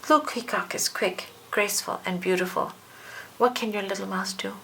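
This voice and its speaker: A woman voicing a mocking, boastful older brother, a young male god, with taunting, drawn-out emphasis in a storybook reading. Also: medium pitch, fairly even intonation, pushed and bright, a little hiss or hum, poor audio. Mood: smug.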